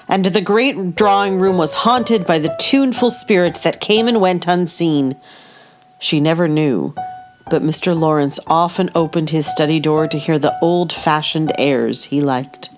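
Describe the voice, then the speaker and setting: A woman's voice: fairly low pitch, natural voice. A woman narrator reading scripted storybook narration in an audio play.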